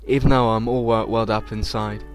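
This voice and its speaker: A man's voice: lowish voice, fairly even intonation, fairly quick. Male let's player reading over game music. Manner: informal, stumbles over the text he reads. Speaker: let's play host reading aloud. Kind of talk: reading visual novel text with casual reactions.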